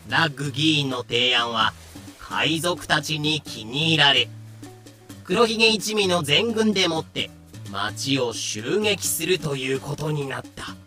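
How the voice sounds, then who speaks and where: A man's voice: a little high, animated, unhurried, bright, forceful tone, soft background music. A man playing the narrator of a pirate tale, announcing scenes in scripted narration. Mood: storytelling.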